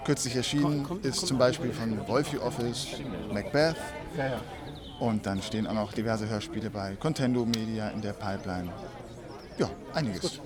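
A man's voice: on the low side, normal speed. A man speaking casually in an interview as an audio drama actor. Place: busy audio drama convention. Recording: busy convention crowd behind voice.

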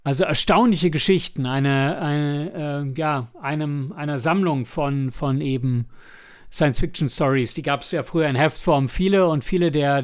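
Male co-host for a casual review podcast. Slightly high register, halting, rephrases as he goes. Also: a little mellow. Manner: hesitant, halting, self-correcting mid-sentence. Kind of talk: casual conversational review talk.

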